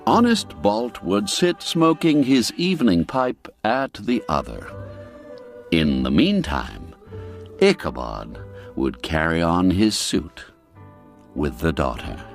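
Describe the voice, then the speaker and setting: A man narrating a classic tale in a theatrical, dramatic manner. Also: low-pitched. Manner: theatrical, dramatic swings in emphasis. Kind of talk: scripted storybook narration.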